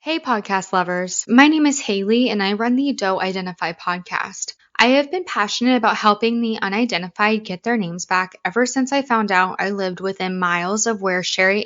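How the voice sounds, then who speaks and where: A woman's voice: medium pitch, fairly bright tone. Earnest female podcast host for a promo spot; upbeat, a bit lo-fi. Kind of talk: read podcast ad.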